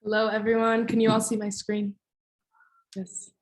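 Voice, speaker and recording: A woman's voice: medium pitch, monotone, normal speed. A woman from Argentina, raised in Miami, an elementary school teacher giving a friendly, organized presentation on a video call.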